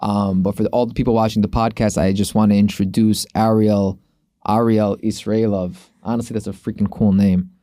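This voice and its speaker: A male podcast host with a fairly low, mellow voice. He chats informally and rambles, circling back over his phrases, and the sound is dry and close. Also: little pitch movement, moderate pace, no room sound. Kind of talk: casual sports podcast chat.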